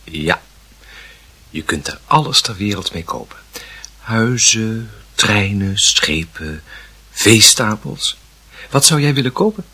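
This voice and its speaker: An actor playing an indulgent, gently reassuring father of a small boy in a radio drama. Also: on the low side, sing-song, slow, long pauses, breathy edge, full character voice. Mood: indulgent, amused. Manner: coaxing, playful, reassuring.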